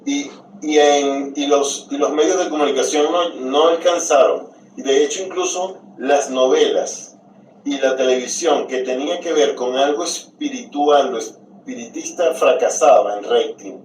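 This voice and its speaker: A man's voice: medium pitch, a little slow, a little mellow, a little hiss or hum. A man from Venezuela, hosting an interview, asking questions with hesitant restarts. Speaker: podcast host, interviewer. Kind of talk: casual conversational interview. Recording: roomy, distant from the mic.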